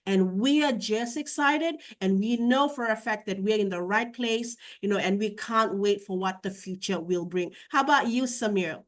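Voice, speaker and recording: A woman's voice: mid-range voice, moderate pace, hardly any pauses. Brief: woman from Fiji with Fijian as her first language, co-hosting a video call presentation, chatty and full of fillers. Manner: chatty, many "you know" fillers. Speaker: co-host.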